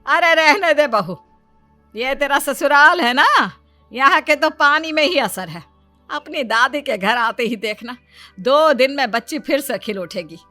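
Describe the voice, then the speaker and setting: A woman's voice: high-pitched, lively intonation, a little slow, pushed and bright, no echo, soft background music, heavily acted. A woman playing a doting, nagging elderly Indian grandmother in a family cartoon story, fussing and exclaiming. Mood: scolding, complaining. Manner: scolding, fussing, exaggerated exclamations.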